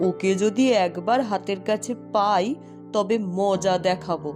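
A woman's voice: medium pitch, fairly even intonation, measured pace, music in the background, lightly acted. Woman acting an indignant young cartoon princess. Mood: resentful. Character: indignant young princess, one of three sisters. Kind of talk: Bengali fairy-tale cartoon dub acting.

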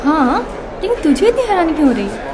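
A woman's voice: high voice, sing-song, quick pace, over music. A woman playing a friendly, persuasive young college student in casual radio drama slang. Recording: noisy, crowded classroom ambience behind.

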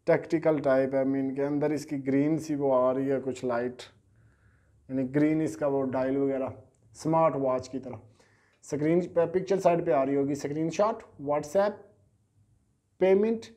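Brief: thirties man selling gadgets, chatty and hesitant, slightly high, mellow tone, lapel mic. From Pakistan. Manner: chatty, hesitant, repeats fillers and sales catchphrases. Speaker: online gadget seller. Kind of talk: casual product unboxing. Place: small indoor studio at a table.

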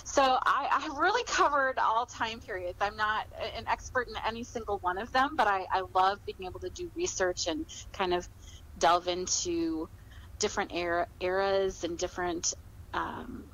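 A woman with an American accent speaking as an author in a podcast interview, explaining things in a measured, conversational way. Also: mid-range voice, fairly bright tone, highs cut off.

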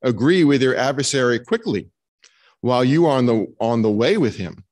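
A middle-aged man reads religious texts aloud as a minister in a chapel. His delivery is formal and measured, with a medium-pitched voice that rises and falls widely. He speaks into a lectern microphone. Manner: formal, measured, reading from a text. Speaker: minister leading a church service. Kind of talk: solemn scripture and doctrinal reading aloud. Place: stone chapel, indoors. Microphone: lectern microphone on a stand.

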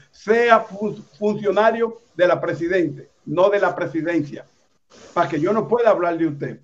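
Dominican man, high voice, fiery rallying street speech outdoors, dull lo-fi sound. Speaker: activist host at a street protest. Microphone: handheld microphone.

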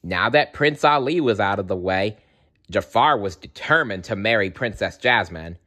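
A man reading a children's storybook aloud in an animated style, with playful asides to the listener. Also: fairly low pitch, moderate pace. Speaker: storybook reader.

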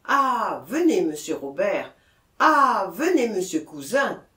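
Audiobook part, acted by a man: concerned town mayor, reasoning and coaxing, animated pitch, roomy acoustic. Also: a little slow, recorded from a distance. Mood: urgent summons. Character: concerned, patient, authoritative male town mayor.

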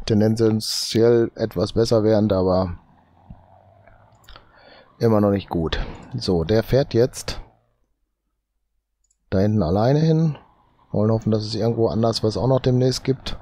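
A man with a cold, thinking aloud slowly as he comments on his own gameplay, often tagging lines with "ne?". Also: on the low side, warm tone. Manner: muttering, drawn-out, tags lines with "ne?". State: has a cold. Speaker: let's play host.

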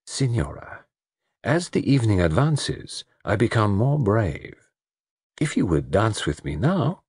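Guy doing a fussy detective, old and courtly, precise and gentle, husky and dry. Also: lowish voice, very animated, unhurried, no echo, pitched above the performer's own voice, heavily acted. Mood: gallant. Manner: courtly, precise, softly coaxing. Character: famous fussy Belgian detective, older man, polite and gentle. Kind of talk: audiobook character reading.

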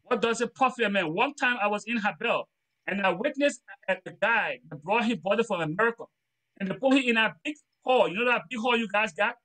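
Liberian guy teaching a class, preachy and earnest, keeps repeating himself, high voice. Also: brisk, sharp tone, poor audio. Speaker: trainer. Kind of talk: motivational talk in a training lesson.

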